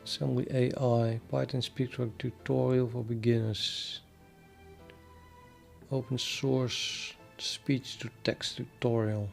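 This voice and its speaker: Gravelly Dutch-accented man thinking aloud. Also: mid-range voice, flat delivery, faint music behind. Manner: thinking aloud, halting with many fillers. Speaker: programming livestreamer. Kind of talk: casual live-stream chat.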